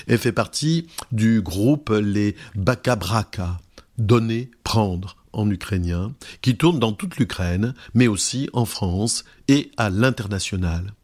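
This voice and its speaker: A man hosting a radio program, introducing guests and reading their words in a slow, measured way with occasional hesitations. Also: on the low side, lively intonation, a little mellow. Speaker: radio host. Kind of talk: radio presentation, reading translated testimonies.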